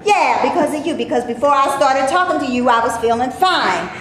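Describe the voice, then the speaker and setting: In a very echoey room with faint noise, a woman plays a sassy, fed-up film actress on stage. Her high voice is indignant and colloquial, the feisty talk of someone tired of maid roles. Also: animated, fairly quick, a bit bright. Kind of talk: staged play reading. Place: echoey room before a live audience. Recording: echoey room with noise, live audience recording.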